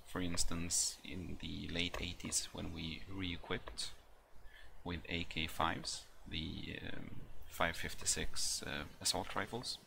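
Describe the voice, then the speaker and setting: A man's voice: low voice, fairly even intonation, vocal fry. A man, not an English speaker, gives a hesitant walkthrough with many ums and uhs. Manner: hesitant, halting delivery full of ums. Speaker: hobbyist video maker. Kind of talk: casual walkthrough with many fillers. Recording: noisy home recording with a stutter.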